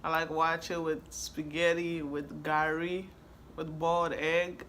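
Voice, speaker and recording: Chatty woman with a bright voice. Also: deep voice, level tone, takes it slowly, slight background noise. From Ghana. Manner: laid-back, chatty, laughs easily. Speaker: vlogger answering questions. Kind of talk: casual chat.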